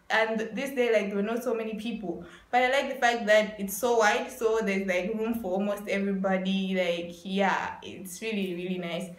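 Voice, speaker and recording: A woman in her twenties narrating a casual vlog in a chatty way, leaning on repeated words for emphasis, recorded indoors in a small room. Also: mid-range voice. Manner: chatty, repetitive emphasis with frequent "like". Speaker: vlogger. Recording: roomy echo.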